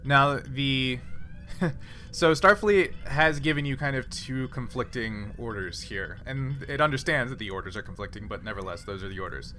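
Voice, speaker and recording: A man acting as game master, explaining the situation of a sci-fi tabletop game in a halting, improvised way. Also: mid-range voice, pushed and bright.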